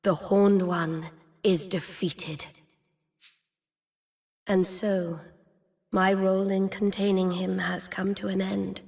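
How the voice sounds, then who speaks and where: A slow, echoing, gentle queen. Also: mid-range voice, gravelly. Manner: tender, solemn, lingering phrasing. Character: gentle dying queen, loving and serene. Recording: long cavernous reverb.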